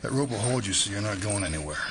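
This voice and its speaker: An actor playing a stern, bitter, middle-aged FBI director with a formal, forceful way of speaking, in an audio drama. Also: male voice, lowish voice, expressive, quick pace, very noisy, close to an everyday voice. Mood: bitter, commanding.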